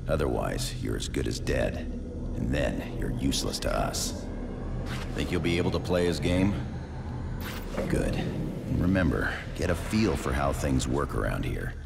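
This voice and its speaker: Gruff wasteland commander for a video game: rock-bottom pitch, heavy fry, terse orders, authoritative. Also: male voice, very animated, unhurried, heavily acted. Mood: stern warning. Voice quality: gravelly. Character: gruff male resistance captain leading a wasteland base. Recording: loud music under the voice.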